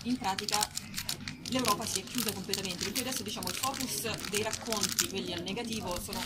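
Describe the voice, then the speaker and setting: A woman's voice: medium pitch, fast talker. A woman speaking spontaneously as an aid worker, telling things vividly with rhetorical questions and quoted exchanges. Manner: animated storytelling with acted-out quotes and rhetorical questions. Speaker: humanitarian aid worker and spokesperson. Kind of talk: spontaneous explanation at a public talk. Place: public festival talk, noisy surroundings. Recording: very noisy live event recording, background ambience.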